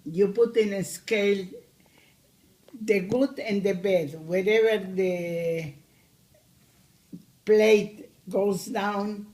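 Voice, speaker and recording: Elderly Argentine-accented grandmother in her eighties, unhurried, halting delivery. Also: medium pitch, steady tone, a little mellow. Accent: Argentine Spanish. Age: 80s. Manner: slow, hesitant, many pauses. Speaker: grandmother interviewee. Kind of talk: recorded family interview.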